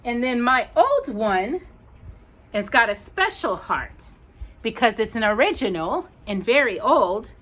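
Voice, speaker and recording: A woman reading a storybook aloud for children, expressive and gentle, with chatty asides. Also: on the high side, slow pace, long pauses.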